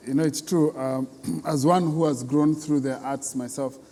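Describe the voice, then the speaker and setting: A Kenyan man with a dark, relaxed voice at a medium pitch hosts an interview conversationally. He often drops in short affirming words. Long reverb from a hall surrounds him. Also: normal speed. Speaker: interviewer, host. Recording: long reverberant hall, clear background noise.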